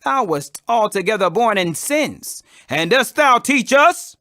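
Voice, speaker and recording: A man's voice: high voice, sing-song, unhurried, pushed and bright, dry recording, studio quality, pitched above the performer's own voice, full character voice. A man voicing stern, proud Pharisees who question and accuse, insistent and declamatory, in a scripture reading. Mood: scornful.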